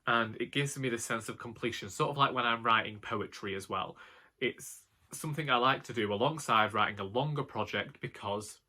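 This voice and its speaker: A man's voice: medium pitch, bright, forceful tone. A young man, an author, talking casually and wryly about his writing plans and questioning himself as he goes. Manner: musing, self-questioning, wry. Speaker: author and book vlogger. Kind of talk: casual reflective monologue.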